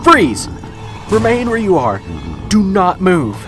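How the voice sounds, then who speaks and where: A man's voice: high-pitched, very animated, slow pace, a bit bright, faint music behind, exaggerated voice. A man playing a panicky, agitated young rookie police officer in a radio drama. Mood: frantic commanding. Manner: agitated, exclaiming.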